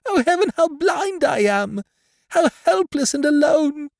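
A man's voice: high-pitched, expressive, a little slow, a little mellow, no room sound, studio quality, pitched above the performer's own voice. A man voicing a young blind woman who pleads in a raised, strained voice. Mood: anguished, ecstatic.

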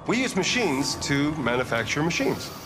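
Self-assured tech founder, lively intonation. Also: male voice, a little high, over music. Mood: confident, explanatory. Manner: measured, confident, explanatory. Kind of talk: scripted game interview. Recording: clear ambient noise behind voice.

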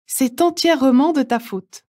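A woman reading short phrases aloud for a language lesson, each said twice with careful, clear enunciation. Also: sits high, a little slow, a little mellow. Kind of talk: slow repeated phrase reading.

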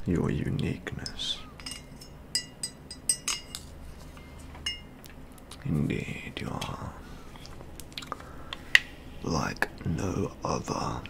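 Casting: young male actor as doting, pompous model collector for ASMR; gravelly whisper, extremely slow, precise formal delivery. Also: a bass voice, heavily acted. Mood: grandly admiring. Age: young adult. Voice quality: breathy, half-whispered, gravelly. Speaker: ASMR roleplay performer. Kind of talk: soft-spoken ASMR roleplay. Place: small indoor studio, close up.

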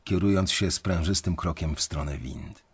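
An actor playing an anxious young banker who narrates his own story in a confiding, measured, dramatic way in an audio drama. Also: deep voice, breathy edge. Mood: reflective, uneasy. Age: young adult.

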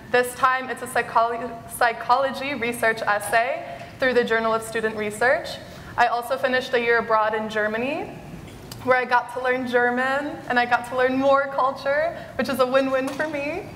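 A woman's voice: a little high, a bit bright, slight background noise. A teenage girl, a panelist on a conference stage, introducing herself in a chatty, upbeat way. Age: teens. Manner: chatty, upbeat, laughs often. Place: conference stage in a large hall. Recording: echoey hall recording.

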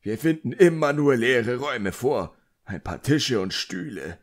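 A man's voice: mid-range voice, lively intonation, measured pace, voice pushed up, lightly acted. A man voicing an irascible, self-important middle-aged magician in an audiobook, barking his words with indignant emphasis. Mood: indignant ranting. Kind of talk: audiobook character dialogue.

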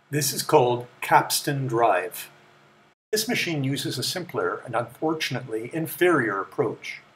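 A middle-aged man giving a measured, matter-of-fact scripted explanation as the presenter of a technical tour. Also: medium pitch. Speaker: presenter of a vintage electronics tour.

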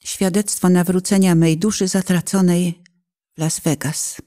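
A woman narrates a personal testimony from a script. Her voice sits on the low side and has a dark, mellow tone. She phrases each thought solemnly and deliberately. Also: steady tone. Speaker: author and narrator.

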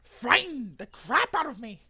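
A young man in his twenties performs a dramatic reading with an exaggerated, theatrical delivery. His pitch moves in lively swings and his tone is bright and forceful. He is recorded in a room at home. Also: unhurried, gravelly, breathy edge. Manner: theatrical, exaggerated delivery with sudden loud outbursts. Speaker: online video creator. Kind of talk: dramatic reading of a social media post.

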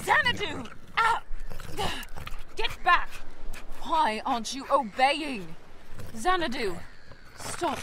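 A woman performs a fierce, commanding woman in a radio play. Her voice is high and swings widely in pitch as she gives forceful orders. There is clear noise behind her. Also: big pitch swings, takes it slowly, pauses often, full character voice. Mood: desperate, commanding. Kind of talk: audio drama acting.

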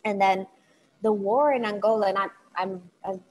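A woman's voice: mid-range voice, fairly even intonation, moderate pace, rough recording. A woman from Portugal, a guest speaker on an academic webinar, answering thoughtfully with frequent restarts over earphone mic on a video call. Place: home room with bookshelves. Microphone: wired earphones mic on video call.

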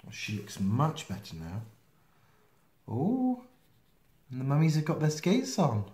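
Slow, expressive man reading a picture book. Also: fairly high pitch. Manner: expressive, slow, with playful sound effects and asides. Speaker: storytime reader. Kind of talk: children's picture-book read-aloud. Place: small indoor room, seen close to the camera. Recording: roomy, echoey home video sound.

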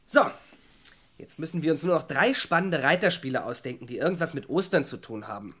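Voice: mid-range voice, animated, a little fast, fairly bright tone. A performer playing a good-humoured, sceptical teenage boy in a children's audio drama, questioning and easygoing. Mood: sceptical, amused.

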